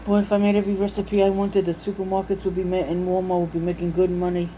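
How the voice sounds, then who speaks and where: A woman with a lowish, soft-edged, mellow voice talks casually as she browses and reads items aloud. She muses to herself in a homey, folksy way. She is recorded indoors with a faint steady room hum behind her. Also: fast, rough recording. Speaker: vlogger showing a recipe book. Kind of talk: casual unscripted chat while browsing and reading out recipes. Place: indoors at home.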